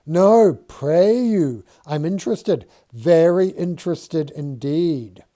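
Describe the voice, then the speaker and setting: A man voicing an earnest, easily startled Quaker surveyor who speaks in archaic thee-and-thou English, loud and agitated. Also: on the high side, slow pace, voice pushed up.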